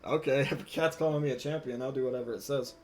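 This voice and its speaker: A man's voice: medium pitch, quick pace. Casual gamer guy with music behind. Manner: casual, expressive, profane. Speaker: gaming streamer. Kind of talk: live game commentary and casual dialogue read-aloud.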